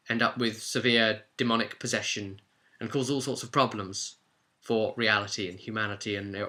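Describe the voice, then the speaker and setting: A man hosting and recapping a role-play game as an informal, expressive storyteller and game master. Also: on the low side. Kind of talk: animated storytelling recap to viewers.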